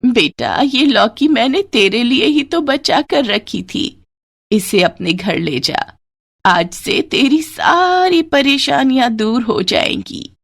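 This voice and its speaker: Woman voicing a slow-talking, kindly old village woman. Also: a little high, very animated, gravelly, fairly bright tone, exaggerated voice. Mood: grateful, kindly. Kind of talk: Hindi moral-story cartoon dialogue.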